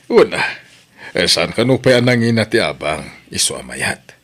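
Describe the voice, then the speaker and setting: A man's voice: lowish voice, sing-song, normal speed, a bit bright. A man playing a middle-aged father who talks fondly to his children and wife, often laughing.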